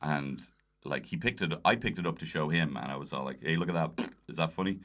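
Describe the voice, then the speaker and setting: A man's voice: extremely low pitch, fairly quick, a bit bright. An Irish man chatting casually as a podcast guest, telling rambling, self-deprecating stories. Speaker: guest comedian. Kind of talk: casual anecdotal chat.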